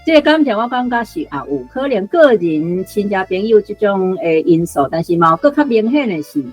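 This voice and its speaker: A woman's voice: medium pitch, sing-song, a little fast, breathy edge, faint music behind. A woman with a Taiwanese accent giving unscripted explanatory commentary in a conversational way, full of particles and small asides. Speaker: guest commentator.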